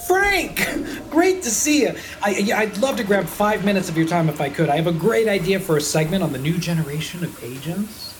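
A woman's voice: fairly low pitch, very animated, brisk, noisy background. A woman playing a flustered, ambitious junior talent agent, stammering, eager and fawning.

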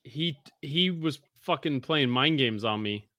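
A man's voice: medium pitch, expressive, unhurried, sharp tone, no echo. A man in his forties hosting a podcast, telling a long rambling story in casual banter and swearing freely. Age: 40s. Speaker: podcast host.

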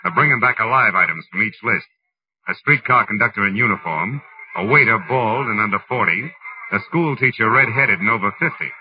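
An old, dull-sounding radio recording carries a low-pitched American private eye, played by a man. He is sardonic and hard-boiled, tossing off wisecracks in 1940s detective drama style, set a little back from the microphone. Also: animated, brisk, far from the mic, a little hiss or hum, close to an everyday voice. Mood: wry narration. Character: wry, wisecracking male private detective narrating his case.

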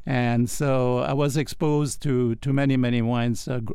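An older man with a French accent, a guest telling his story in a relaxed radio interview, chuckling often. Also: mid-range voice, measured pace, clear, resonant tone, no room sound, studio quality. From France. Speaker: guest, wine professional.

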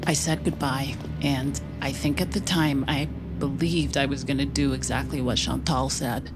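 A woman's voice: very deep voice, steady tone, normal speed, over loud music. An American actress playing a weary, strained mother haunted by evil in an audio drama. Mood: haunted, brooding. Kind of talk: audio fiction acting, dialogue and first-person narration.

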